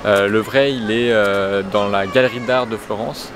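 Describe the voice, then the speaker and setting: A man's voice: on the low side, moderate pace, crowd noise. A young man chatting casually and jokily in a travel vlog on a city square, with frequent hesitations. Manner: relaxed, hesitant, jokey. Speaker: travel vlogger. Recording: street noise behind.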